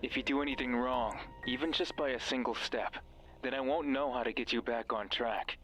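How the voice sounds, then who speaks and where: A man's voice: mid-range voice, fairly even intonation, moderate pace, a bit bright, soft background music, lightly acted, poor audio. An actor playing a mysterious, bossy male guide in a horror game, stern, ominous and condescending. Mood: ominous, commanding. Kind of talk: horror visual novel voice acting.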